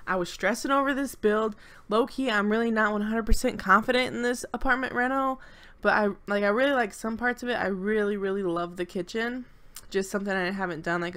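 Young woman, stuffy nose, congested, nervous rambling, smooth clear tone, casual home voice-over. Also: medium pitch, a bit bright. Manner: rambling, nervous and apologetic, many fillers. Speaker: channel co-host. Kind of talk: casual voice-over commentary on a game build.

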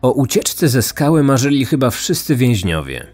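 A man narrating a scripted true-crime podcast as its host, measured and serious. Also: mid-range voice, very animated, darkish tone. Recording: music bed under voice.

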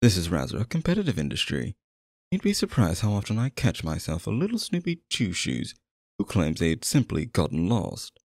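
Man playing a theatrical, deep-voiced sinister stage magician. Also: huge pitch swings, normal speed, a little mellow, dry recording, exaggerated voice. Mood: suave, hospitable. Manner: flowery, genteel, theatrical, coaxing. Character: sinister, flattering male stage magician, courtly and obsessive. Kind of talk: ASMR roleplay, theatrical monologue to the listener.

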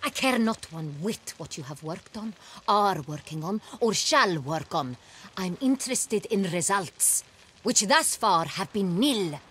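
An actress playing an imperious, impatient duchess in a fantasy video game, speaking in a haughty, formal, cutting way. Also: female voice, medium pitch, lively intonation, unhurried, a bit bright, husky. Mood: angry, impatient. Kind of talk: dramatic video game acting.

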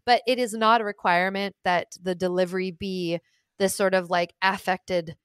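A young woman talks into a close studio mic, and the sound is dry with hardly any room in it. She gives advice in a loose, chatty way, leaning on "like" and "um" as she goes. Her pitch sits in a middle range. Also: steady tone, normal speed, clean tone, no room sound. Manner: chatty, lots of "like" and fillers. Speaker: podcast co-host, intimacy coach. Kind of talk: casual advice chat. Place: small room at home. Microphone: studio mic on stand, headphones, remote call.

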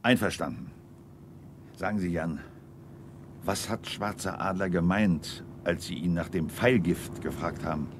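An actor playing an authoritative army general in a radio play, with a clipped, commanding manner. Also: male voice, low voice, a little slow, a little hiss or hum. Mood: questioning.

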